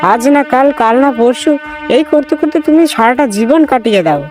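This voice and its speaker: A man's voice: high voice, moderate pace, voice pushed up, lightly acted. A man voicing a fed-up, scolding village wife in a cartoon folk tale. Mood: angry, nagging. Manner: nagging, reproachful. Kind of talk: cartoon fairy-tale voice acting. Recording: loud background music.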